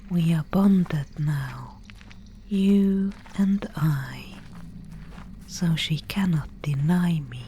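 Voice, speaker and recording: A woman voicing a mysterious, solemn Spindlemaid guide in a whispered ASMR dark-fantasy roleplay, with breathy, incantatory, archaic speech. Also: low voice, slight background noise. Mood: calm, ominous. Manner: slow, incantatory, archaic phrasing.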